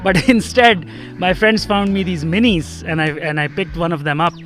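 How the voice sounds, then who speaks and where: A young man from Pakistan, answering an interview in a relaxed way with some fillers. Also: sits high, normal speed. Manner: relaxed, uh and um fillers, laughs lightly. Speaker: guest. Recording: faint music underneath.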